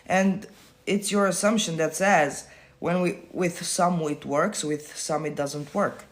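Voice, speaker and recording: A man's voice: fairly high pitch, fairly even intonation, takes it slowly. A man coaching viewers on a phone livestream, answering their comments in a blunt, casual way, swearing freely and repeating himself to make points land. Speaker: manifestation coach.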